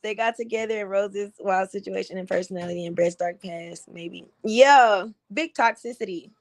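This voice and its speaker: A woman with a slightly raspy voice hosting a casual livestream, giving blunt, animated commentary with frequent laughs. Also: medium pitch, moderate pace. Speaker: livestream host.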